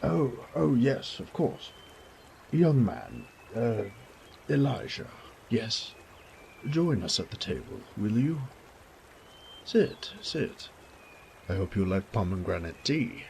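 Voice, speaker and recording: A kindly old baron for an audio drama, fussing over his family in genteel, effusive speech. His delivery is slow and swoops widely, with some creak in the voice. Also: fairly low pitch, big pitch swings, darkish tone, a little hiss or hum, voice pushed up, full character voice. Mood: jovial, courteous. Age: older. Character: kindly, doting elderly baron, a fussy noble father. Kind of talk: audio roleplay drama acting.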